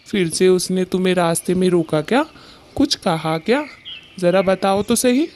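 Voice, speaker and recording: Woman as a mellow-voiced male cartoon bird husband. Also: lowish voice, normal speed, lightly acted. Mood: placating. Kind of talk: Hindi cartoon story dialogue.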